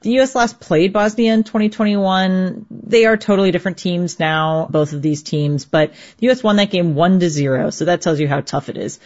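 A woman's voice: lowish voice, brisk, hardly any pauses, a little mellow, highs cut off. Woman sports correspondent, conversational. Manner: conversational, explanatory. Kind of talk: radio news interview.

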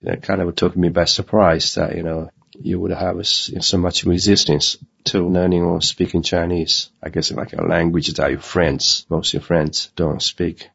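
An older man whose first language is Mandarin talks in a halting way with many fillers. His voice is very deep and gravelly. The recording sounds dull and muffled. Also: little pitch movement, fairly bright tone. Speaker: interviewee. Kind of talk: casual recorded interview.

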